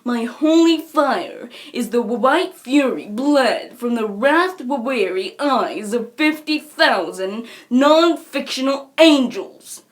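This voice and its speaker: A woman's voice: a little high, animated, slow, hardly any pauses. A woman voicing a haughty, pompous young troll aristocrat with theatrical delivery. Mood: grandiose. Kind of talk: fan character voice acting reel.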